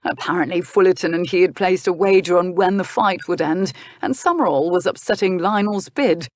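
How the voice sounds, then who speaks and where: A woman's voice: lowish voice, fairly quick, darkish tone, dry recording, close to an everyday voice. A woman voicing a wry, easygoing, gossipy earl who is a reluctant magistrate, in a historical romance audiobook. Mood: amused.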